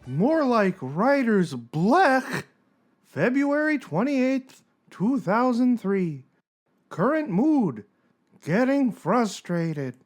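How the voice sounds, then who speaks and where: A woman's voice: on the high side, big pitch swings, very slow, a little mellow, soft background music, exaggerated voice. A woman playing a pretentious, frustrated poet from a cartoon, reading a blog in a brooding, melodramatic way. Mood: gloomy, weary.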